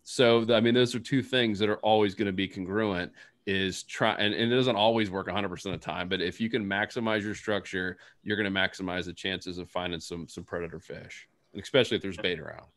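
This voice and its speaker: A man's voice: deep voice, fairly even intonation, brisk, fairly bright tone. A young man hosting a casual fishing panel over a video call, playful and joking as he moves between questions. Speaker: host.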